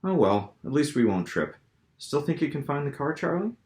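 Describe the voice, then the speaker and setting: A man acts out a woman in love from an audiobook fantasy. She is expressive and playful with an uneasy edge, her lines lilting upward in a roomy space. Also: fairly high pitch, moderate pace, pitched above the performer's own voice. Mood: chatty, puzzled. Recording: roomy, echoing room sound.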